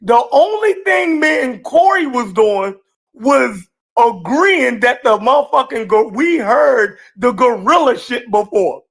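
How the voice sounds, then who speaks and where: A man's voice: very high voice, animated, measured pace, fairly bright tone. A man in a heated group conversation who keeps cutting in to explain his point and insists on being heard.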